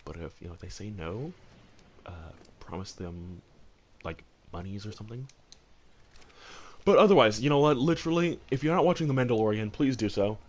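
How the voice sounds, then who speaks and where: A man's voice: lowish voice, lively intonation, moderate pace, pauses often, slight background noise. A man chatting casually as host of a gaming discussion, rambling and emphatic, often repeating phrases for stress. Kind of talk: casual chat, opinionated commentary.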